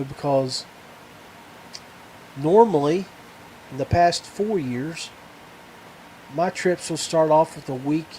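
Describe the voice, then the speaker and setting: American guy rambling on his podcast, kinda high voice, stops and starts hunting for words, bit of noise behind. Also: warm tone, poor audio. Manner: halting, searching for words. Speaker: podcast host. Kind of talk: casual, rambling solo podcast talk.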